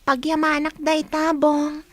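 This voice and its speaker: A woman performs a dutiful eldest daughter in a Filipino radio drama. The voice is extremely high and girlish in pitch, with a tender, caring manner toward her family. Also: completely flat delivery, measured pace, dry recording. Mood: wistful, grateful.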